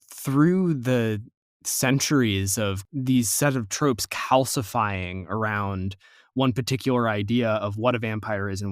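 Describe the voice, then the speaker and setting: A man's voice: medium pitch, dry recording, clean studio sound. A man with an American accent, hosting a podcast interview in a conversational style. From United States.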